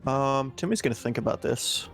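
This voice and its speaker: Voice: medium pitch, normal speed, soft background music. Player thinking aloud over tactics. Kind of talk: casual tabletop game chat.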